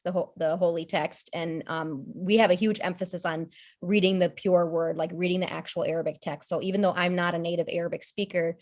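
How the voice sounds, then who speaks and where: A woman in her thirties, a podcast guest, talking in a relaxed, thoughtful way with many fillers and restarts. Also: lowish voice. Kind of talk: casual conversational discussion.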